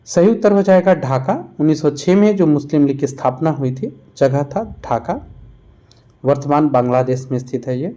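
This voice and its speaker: Higher-pitched, mellow-voiced man teaching a quiz lesson. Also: expressive, normal speed. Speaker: exam-prep history teacher. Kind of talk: quiz-style lesson narration.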